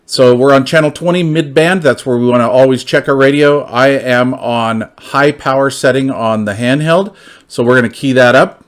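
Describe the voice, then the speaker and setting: A man's voice: mid-range voice, moderate pace. A man reviewing gear hands-on in a casual, informal, folksy way, often repeating his phrases.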